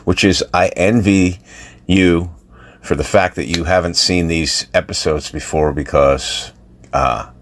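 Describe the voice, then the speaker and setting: A man's voice: deep voice, unhurried. An American man in his sixties or older, leaving a casual voice message as a listener. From United States. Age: 60s or older. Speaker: listener calling in with feedback.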